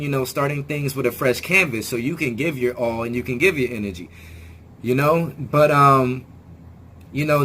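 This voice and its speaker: A man's voice: medium pitch, fairly bright tone, a little hiss or hum. Rambling man with a recovering voice. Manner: rambling, repeats words, swears casually. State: hoarse, regaining lost voice. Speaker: video channel host. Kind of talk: casual spiritual self-help talk to viewers.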